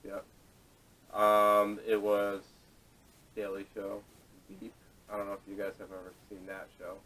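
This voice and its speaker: A man hosting a casual podcast discussion, speaking haltingly with repeated words, picked up by a distant camera microphone. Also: fairly low pitch, completely flat delivery, a bit bright. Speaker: podcast host. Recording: distant, salvaged camera audio.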